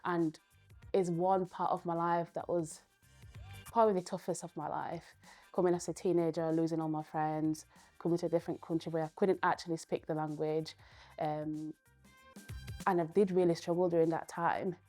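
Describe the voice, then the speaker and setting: A young woman, a Spanish speaker from Spain, giving earnest, thoughtful interview answers and pausing often to gather her words. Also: lowish voice, little pitch movement, dark tone, faint music behind. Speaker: guest, powerlifter.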